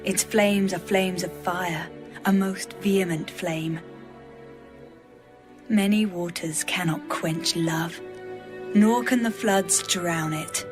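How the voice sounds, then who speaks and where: A woman playing a tender, devoted young bride in love, in a measured, poetic dramatised Bible reading. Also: on the low side, over music. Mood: fervent.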